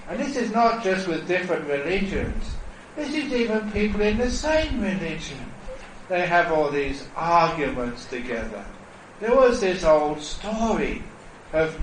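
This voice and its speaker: A Buddhist monk giving a dharma talk at a retreat, telling stories in a playful, persuasive way. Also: male voice, sits high, a little hiss or hum. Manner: storytelling, playful and warmly persuasive. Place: temple hall. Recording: echoey hall from a distance.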